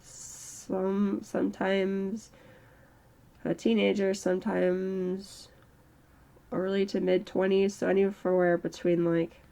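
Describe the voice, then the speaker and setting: A woman in her early thirties talking slowly and reflectively in a personal vlog, with a strained throat. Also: medium pitch, little pitch movement, gravelly, dark tone. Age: early 30s. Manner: slow, halting, reflective. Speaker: channel host. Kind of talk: personal mental health vlog monologue.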